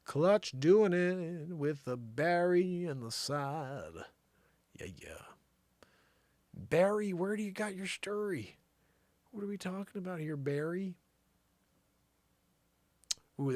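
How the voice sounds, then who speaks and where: A man's voice: on the high side, dry recording. A man hosting a live show with casual, slangy, rambling comedic commentary, often thinking aloud. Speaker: live variety show host.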